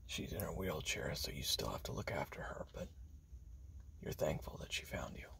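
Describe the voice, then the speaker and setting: A man performs as a treacherous assassin telling his tale straight to the listener in an audio roleplay. His voice is extremely low and gravelly, often sinking into a whisper. The delivery is deliberate, close and hushed. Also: big pitch swings, full character voice. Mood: cold, menacing. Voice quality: breathy whispering with gravelly fry. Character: treacherous male assassin recounting his betrayal to you. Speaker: roleplay narrator. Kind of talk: second-person audio roleplay narration, close and intimate.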